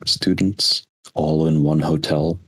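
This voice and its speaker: A man's voice: very deep voice, fairly even intonation, slow, breathy edge. A young American man in his twenties co-hosting a podcast, chatting casually in a rambling, reflective way. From United States. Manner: rambling, reflective, with frequent restarts. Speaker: podcast co-host. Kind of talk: casual chat.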